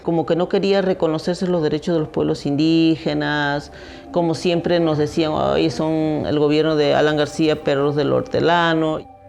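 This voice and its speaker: A woman organization leader recalls events, sometimes pausing and restarting. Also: sits low, steady tone, gravelly, darkish tone. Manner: recounting events with hesitant restarts. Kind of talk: documentary interview.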